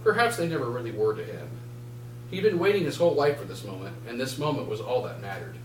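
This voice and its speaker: A man's voice: medium pitch, expressive, quick pace. Man reading fiction aloud, roomy and distant. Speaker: author reading from own novel. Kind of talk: live dramatic prose reading. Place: indoor bookshop reading event. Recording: roomy, distant mic, faint noise and hum.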